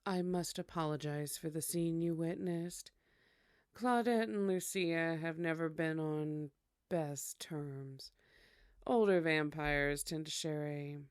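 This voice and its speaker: Woman as deep, smooth, gracious vampire attendant. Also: darkish tone, no echo, clean studio sound. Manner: formal, polite, measured. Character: calm, gracious female vampire attendant guiding a guest. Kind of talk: ASMR audio roleplay acting.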